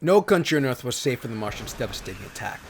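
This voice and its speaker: A man's voice: mid-range voice, big pitch swings, fast, gravelly, soft background music. A man giving a theatrical, solemn dramatic reading of pulp science fiction stories, as a narrator. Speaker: narrator and host. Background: sound effects and ambience.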